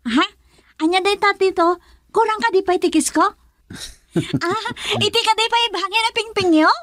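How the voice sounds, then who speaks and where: A woman's voice: extremely high pitch, normal speed, fairly bright tone, dry recording, studio quality. A woman playing a spoiled, playful young daughter in a radio drama, gushing with an Ilocano accent. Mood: delighted, playful. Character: bubbly, spoiled young adult daughter fond of shopping.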